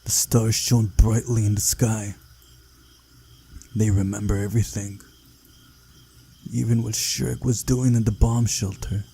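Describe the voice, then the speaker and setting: A man reading a visual novel aloud as narrator, in a subdued, unhurried storytelling manner. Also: lowish voice, dark tone. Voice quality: husky, gravelly.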